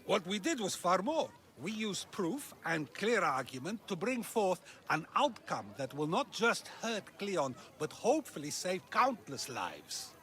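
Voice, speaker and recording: An actor playing an earnest philosopher of ancient Greece in a video game, arguing deliberately like an orator. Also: male voice, sits high, very animated, a bit bright, full character voice. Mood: reflective. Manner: questioning, oratorical, deliberate argument. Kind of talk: video game cutscene acting.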